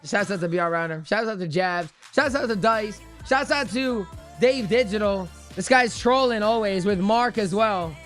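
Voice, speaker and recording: A man with a high-pitched voice hosts a casual live stream. He talks in a slangy, excitable way and banters jokingly with viewers. Music plays faintly behind him. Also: normal speed. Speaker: livestream host doing crypto chart analysis. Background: music playlist playing.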